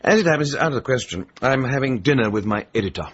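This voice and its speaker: An actor playing a dry, teasing, sceptical British gentleman friend in a radio comedy drama. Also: mid-range voice, animated, fast, breathy edge, highs cut off. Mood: dry, dismissive. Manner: sarcastic, languid, teasing. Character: dry, urbane, sceptical male friend of the sleuth.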